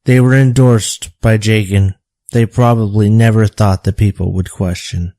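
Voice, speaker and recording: A man playing a tormented survivor who recounts his painful past in a halting, reflective way in an audio drama. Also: fairly low pitch, fairly even intonation, warm tone, dry recording, clean studio sound. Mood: haunted, regretful. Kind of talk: audio drama dialogue, confessional storytelling.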